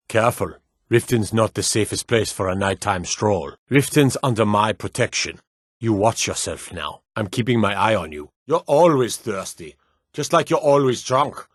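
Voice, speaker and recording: An actor playing a gruff, watchful fantasy city guard in a video game, speaking in a stern, curt and authoritative manner. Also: male voice, lowish voice, lively intonation, normal speed, dry recording, clean studio sound. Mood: irritated, dismissive. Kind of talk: scripted fantasy game dialogue.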